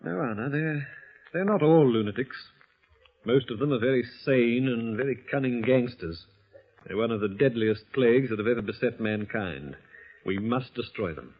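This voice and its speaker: An actor playing a vengeful resistance fighter in 1940s radio horror, speaking in a measured, menacing and solemn way. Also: male voice, medium pitch, husky, close to an everyday voice. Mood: resolute. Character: vengeful resistance fighter posing as a hanged ghost. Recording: tinny old radio broadcast.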